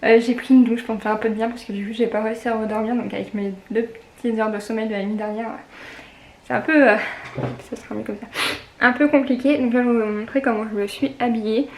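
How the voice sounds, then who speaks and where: A woman's voice: fairly high pitch, fairly even intonation, fast talker, darkish tone, reverberant, slight background noise. A young woman with a cold and a stuffy nose, chatting casually and rambling through a daily vlog at home. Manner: chatty, rambling, many fillers and self-corrections. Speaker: vlogger.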